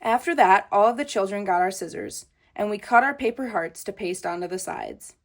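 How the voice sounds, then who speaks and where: A woman reading a children's book aloud as storytelling, in an expressive manner with childlike phrasing. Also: medium pitch, moderate pace.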